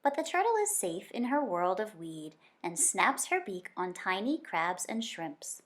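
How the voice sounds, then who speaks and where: A woman reads a nonfiction picture book aloud for children. Her intonation is lively and moves widely up and down at a middle pitch. She tells it like a teacher, expressively and deliberately, drawing out key words.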